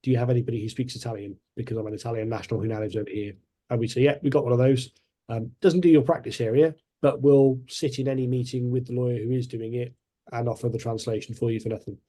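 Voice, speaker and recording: Male panellist, warm low-key tone, hesitant and rambling delivery. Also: steady tone, quick pace. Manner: rambling, hesitant, many restarts. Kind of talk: panel discussion.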